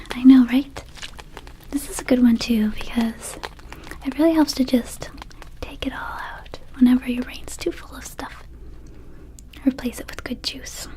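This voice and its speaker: A woman voicing a gentle vampire dispensary keeper in a whispered ASMR roleplay, soothing and unhurried, with childlike wording. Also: high voice. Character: gentle female vampire dispensary keeper offering magic herbs.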